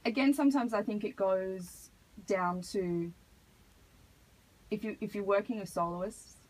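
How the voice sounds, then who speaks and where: A woman answering as a radio interview guest, earnest and measured, picking her phrasing hesitantly as she explains. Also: medium pitch, darkish tone, poor audio. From Australia. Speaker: hip hop artist, interview guest. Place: radio studio. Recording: noticeable background noise.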